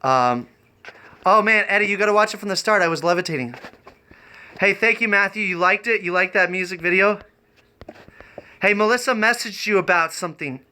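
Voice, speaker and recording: A man chatting off the cuff on a livestream, rambling and halting in an eccentric way. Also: sits high, bright, forceful tone, clean tone. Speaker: live streamer and variety performer. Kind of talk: improvised livestream chat.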